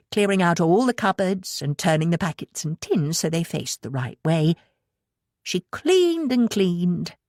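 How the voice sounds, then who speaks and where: A woman reading an audiobook as dramatic fiction narration, with expressive, measured storytelling. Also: low-pitched, no echo, studio quality.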